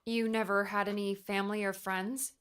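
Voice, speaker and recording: Casting: actress as sad young woman seeking company, audiobook drama, medium-pitched, hesitant, full of questions. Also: flat delivery, normal speed, a bit bright, clear, resonant tone, close to an everyday voice. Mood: curious, sympathetic.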